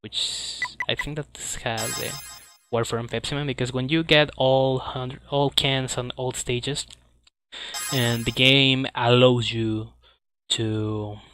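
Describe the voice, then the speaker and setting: A man who speaks English as a second language, giving casual live speedrun commentary, halting as he searches for words. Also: medium pitch, fairly even intonation, music in the background. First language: not English. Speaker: speedrunner presenting a game at a streamed marathon.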